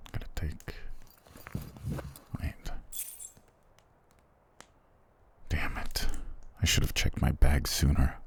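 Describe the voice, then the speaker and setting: A man playing a cruel, proud, weary soldier prince in an ASMR fantasy roleplay, curt and condescending, with a gravelly, husky voice. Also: rumbling low, darkish tone, full character voice. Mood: irritated, guarded. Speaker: voice actor in audio roleplay.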